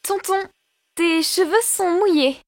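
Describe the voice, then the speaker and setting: A woman's voice: very high-pitched, slow, full character voice. A woman voicing a cautious, anxious young niece, a pet character in a French children's cartoon. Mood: worried. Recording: loud cartoon music behind.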